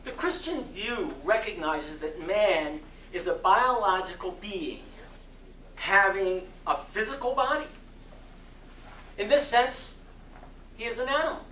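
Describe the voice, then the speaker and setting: Slow, bright-voiced man reading in an echoey room. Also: high-pitched, lively intonation. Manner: deliberate, oratorical phrasing. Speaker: speaker giving a dramatic reading. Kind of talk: dramatic reading of a sermon to a live audience. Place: community center hall. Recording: roomy echo, distant mic, faint noise.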